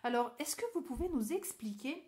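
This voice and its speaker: A woman's voice: high voice, expressive, moderate pace, darkish tone. A woman hosting a radio interview, introducing her guest and asking questions. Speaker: radio show host.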